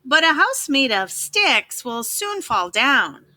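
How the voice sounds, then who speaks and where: A woman's voice: high-pitched, very animated, takes it slowly, pushed and bright, pitched above the performer's own voice, full character voice. A woman voicing a helpful storybook tradesman who gives agreeable, cautioning advice.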